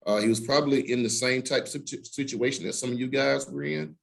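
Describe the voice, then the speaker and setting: A middle-pitched man in his fifties speaks to a room of students as an Army recruiter. He stops and starts often and fills the gaps with plenty of hesitation sounds. His pitch sits right in the middle for a man. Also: vocal fry. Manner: halting, heavy with filler sounds. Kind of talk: presentation to students.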